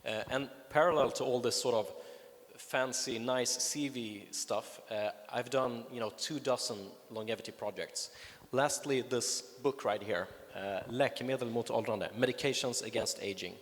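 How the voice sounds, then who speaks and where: A man's voice: mid-range voice, normal speed. A man with a Swedish accent, a native Swedish speaker, giving a conference talk with enthusiasm and lots of fillers and restarts. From Sweden. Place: conference hall. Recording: reverberant hall.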